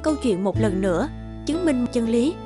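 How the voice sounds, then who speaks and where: A cartoon storybook narrator voiced by a woman reads a scripted moral tale. Her voice is fairly high, and music plays clearly behind it. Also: lively intonation, moderate pace, lightly acted. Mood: measured narration. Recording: loud background music.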